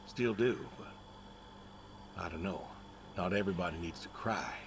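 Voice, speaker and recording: Casting: middle-aged farmer for a narrative game, very low pitch, folksy and understated, grieving stubbornly; noisy ambient recording. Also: male voice, unhurried, vocal fry. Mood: wistful, reflective. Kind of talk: naturalistic dramatic game dialogue.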